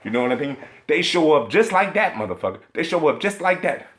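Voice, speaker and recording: A man in full rant mode, swearing constantly and hammering his points with indignant emphasis. His pitch swings widely as he gets worked up. He keeps tripping over strings of "uh"s while he searches for a word. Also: big pitch swings, fairly quick, a bit bright. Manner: rambling with stacked filler hesitations. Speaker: video game commentator. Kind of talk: profane ranting vlog commentary.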